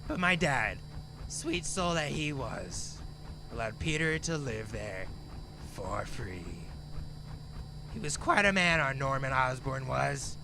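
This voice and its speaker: An actor playing a menacing, theatrical rich heir who swings between sweetness and mockery in an audio drama, recorded with amateur noise. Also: male voice, medium pitch, sing-song, slow pace, gravelly, sharp tone, soft background music, full character voice. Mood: gleefully sinister. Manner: mocking, grandiose, theatrical.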